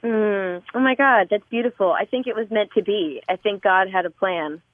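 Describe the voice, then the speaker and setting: A woman, a singer-songwriter guest, chatting in a warm, effusive and openly emotional way. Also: mid-range voice, normal speed, dry recording. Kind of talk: casual interview chat. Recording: tinny phone-like remote line.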